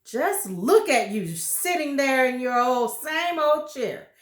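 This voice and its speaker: A woman putting on a spiteful old man from a storybook, jeering and gloating over his frightened wife. His voice swings widely and lands its insults slowly and loudly, in an echoey room. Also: high voice, animated, pitched above the performer's own voice. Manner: taunting, mock-alarmed, jeering. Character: nasty gloating old husband, cruel trickster.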